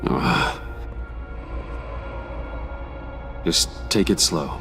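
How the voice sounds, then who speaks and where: An actor playing a grim, stoic game hero, terse and strained, sounding pained and guarded. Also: male voice, very deep voice, expressive, slow, gravelly, heavily acted. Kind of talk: video game voice acting. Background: game music.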